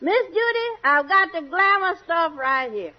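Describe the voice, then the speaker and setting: An actress playing a jolly, exuberant housemaid with whooping laughter in a 1940s radio comedy. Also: female voice, very high-pitched, animated, measured pace. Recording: dull vintage radio recording, studio audience.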